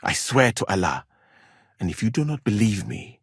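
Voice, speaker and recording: A man playing a learned, courteous medieval traveller from Mali in a video game, speaking in a formal, measured and articulate way. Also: on the low side, big pitch swings, creaky, slightly rough, dry recording, clean studio sound, heavily acted. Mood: composed, courteous. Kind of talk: dramatic game dialogue.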